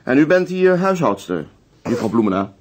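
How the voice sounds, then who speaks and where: Man acting police inspector, radio play, mid-pitched, varied intonation, businesslike, courteous, pensive. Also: sing-song, moderate pace, darkish tone, lightly acted. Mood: questioning. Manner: businesslike, probing, pensive hesitations. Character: calm, methodical, courteous male police inspector. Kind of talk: Dutch radio drama acting.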